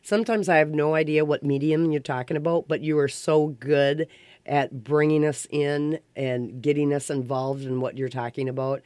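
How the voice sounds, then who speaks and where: A woman's voice: low-pitched, steady tone, normal speed, a little mellow, no echo. A woman hosting a casual radio interview, talking in an enthusiastic, effusive way. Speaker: radio talk show host. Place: radio station studio.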